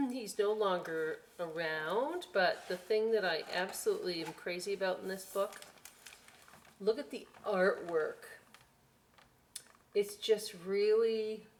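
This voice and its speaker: Slow-paced woman reading a children's storytime. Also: lowish voice, a little hiss or hum. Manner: playful, conversational, addressing young children as friends. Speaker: library storytime reader. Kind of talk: children's picture-book read-aloud with chatty asides. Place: inside a library.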